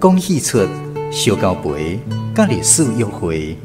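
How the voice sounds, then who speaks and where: Radio host-narrator, male, Taiwanese. Expressive scripted storytelling with wide melodic swings over clear background music. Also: fairly low pitch, unhurried. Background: background music, plucked guitar.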